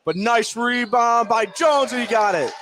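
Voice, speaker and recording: A man's voice: very high-pitched, measured pace, crowd noise. A man calling live basketball play-by-play for radio in short, clipped phrases. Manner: clipped, fragmentary calls of the action. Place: indoor high school gym, courtside.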